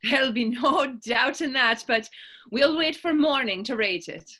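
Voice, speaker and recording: A woman's voice: high-pitched, measured pace, sharp tone, exaggerated voice. A woman playing a bold, teasing grown woman in readers theater, with playful, lilting old-fashioned dialect. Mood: flirtatious teasing. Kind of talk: readers theater stage acting.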